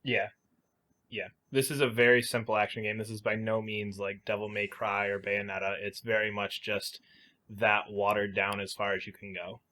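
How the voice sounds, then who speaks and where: A man co-hosting a podcast, talking informally about video games and thinking out loud as he weighs his opinions. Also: fairly low pitch, flat delivery, moderate pace. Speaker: podcast co-host.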